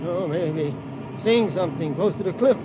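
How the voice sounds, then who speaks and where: Casting: ruthless American villain boss male actor, high voice, menacing and dismissive, muffled vintage radio serial. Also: moderate pace, natural voice. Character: ruthless, cold-blooded space villain in charge. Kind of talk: 1950s radio serial acting. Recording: muffled, noisy 1950s radio recording.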